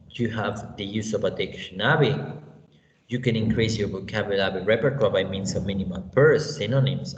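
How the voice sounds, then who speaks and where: A man with a Mexican accent teaching an online English class, unhurried and often checking in with "you know" and "okay?". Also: on the low side. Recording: echoey, roomy, dull lo-fi sound with faint hiss.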